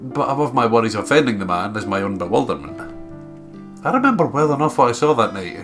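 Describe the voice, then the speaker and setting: A man's voice: medium pitch, big pitch swings, quick pace. A Scottish man doing a let's play, reading visual novel narration aloud with some casual commentary. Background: guitar music.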